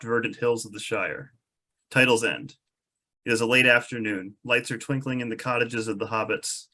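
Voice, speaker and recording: Male narrator, mid-range voice, measured storyteller reading scene descriptions from a script. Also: mid-range voice, a bit bright. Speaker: narrator reading stage directions. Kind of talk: scripted narration at a table read.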